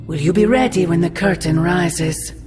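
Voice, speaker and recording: A woman acts out an ancient, menacing sorceress mother from a video game. She speaks in grand, mocking, deliberate phrases. Her voice rings in a long cavernous reverb over prominent music. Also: lowish voice, natural voice. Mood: ominous. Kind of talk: dramatic video game cutscene acting.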